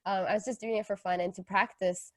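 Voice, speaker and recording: A young woman co-hosting a podcast, originally from Kenya. She tells personal stories in informal, slangy language with a joking manner, at a middle pitch. The sound is dry and close, with no room echo. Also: fairly even intonation, a little fast. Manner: informal slangy talk, jokey. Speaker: podcast co-host. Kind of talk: casual chat, personal storytelling.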